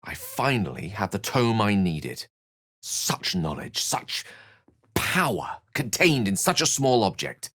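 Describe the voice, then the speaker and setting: A man's voice: fairly low pitch, big pitch swings, takes it slowly, a bit bright, no room sound, studio quality, full character voice. An actor voicing a learned, witty, self-assured male wizard in a video game, with a wry, theatrical and bookish way of speaking. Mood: musing, scholarly. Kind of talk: video game character voice acting.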